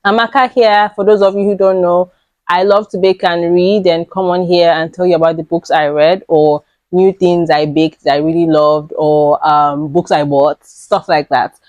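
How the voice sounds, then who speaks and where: Raspy-voiced woman chatting to camera. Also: fairly low pitch, steady tone, normal speed, a little mellow, dry recording, dull audio. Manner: chatty, rambling, many fillers and laughs. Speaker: book vlogger. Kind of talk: casual chat to camera.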